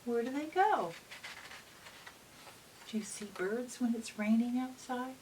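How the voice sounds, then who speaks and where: A woman reads to young children at an unhurried, slow pace, her pitch swinging widely for expression. She sounds like a gentle teacher, pausing to ask her listeners questions. The recording is picked up from a distance, with a faint hiss. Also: animated. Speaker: teacher reading a picture book aloud to children.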